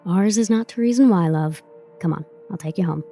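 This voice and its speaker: A woman's voice: lowish voice, fairly quick, dark tone, soft background music, natural voice. A woman playing a wry, world-weary character with a hidden kind streak in an audio drama, offhand and sarcastic. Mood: wry, reassuring. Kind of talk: dark superhero audio drama acting.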